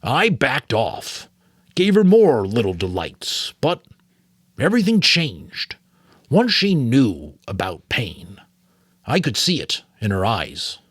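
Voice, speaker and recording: A man's voice: medium pitch, wildly swooping pitch, slow, breathy edge, no echo, studio quality, heavily acted. A man voicing a sorrowful, weary old mentor in a grave, faltering, earnest way, as in a storybook. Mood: grieving. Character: sorrowful, weary old male mentor confessing painful memories.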